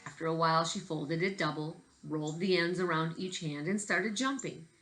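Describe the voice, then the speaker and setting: A woman's voice: low-pitched, normal speed, fairly bright tone. A woman reading a storybook aloud in the manner of a teacher addressing children.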